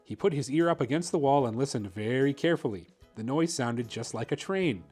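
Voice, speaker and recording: A man's voice: medium pitch, expressive, fairly quick, a little mellow, husky, faint music behind. A man hosting a story time, narrating a children's picture book expressively. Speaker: host reading children's picture books.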